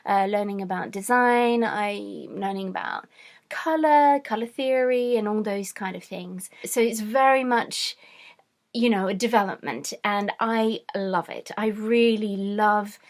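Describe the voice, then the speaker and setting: A middle-aged woman from France, born to British parents, speaking casually and a little haltingly as a craft vlog host. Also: mid-range voice. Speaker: host, cross-stitch designer.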